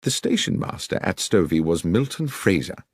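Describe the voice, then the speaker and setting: Low-voiced male audiobook narrator, dry sound. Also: very animated, normal speed, breathy edge, no room sound. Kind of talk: scripted story narration.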